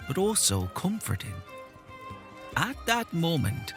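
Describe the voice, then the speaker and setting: An actor playing a folksy old village storyteller in an audio drama, boastful and earnest, savouring the tale theatrically. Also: male voice, mid-range voice.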